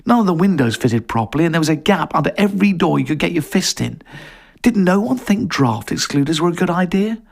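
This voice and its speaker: A man's voice: a little high, big pitch swings, fairly quick, gravelly, voice pushed up, exaggerated voice. A man voicing a chatty, wry middle-aged woman in an audio story. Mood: nostalgic, wry.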